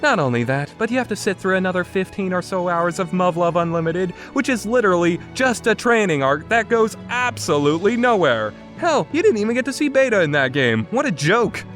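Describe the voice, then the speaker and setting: A man's voice: sits high, very animated, brisk, hardly any pauses, fairly bright tone, soft background music. A man narrating a scripted review as a critic, blunt, exasperated and opinionated in manner. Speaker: game reviewer.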